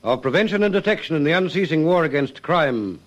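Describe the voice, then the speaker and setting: A man giving formal scripted narration for an old radio police drama, in a grave, measured and emphatic manner. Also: on the high side, expressive, no room sound. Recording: dry, muffled vintage radio recording.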